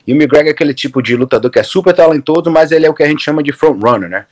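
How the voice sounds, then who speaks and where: Brazilian man, 30s, video-call guest, relaxed, rambly with restarts. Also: a little high, very fast. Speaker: interview guest, MMA coach. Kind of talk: casual video-call interview. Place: room at home, indoors.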